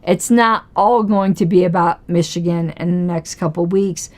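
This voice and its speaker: Hoarse woman, low-pitched, hosting a political livestream, argumentative, fond of rhetorical questions. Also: normal speed, darkish tone. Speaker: political commentary livestream host. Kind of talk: casual livestream commentary.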